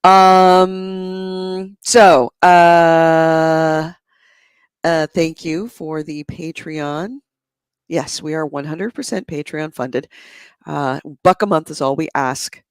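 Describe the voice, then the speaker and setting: A woman hosting a podcast in a casual chat, her voice hoarse and gravelly, talking in a chatty, animated way and laughing often. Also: low voice, drawn-out words, no echo, clean studio sound. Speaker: podcast host. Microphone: adjustable microphone close to the mouth.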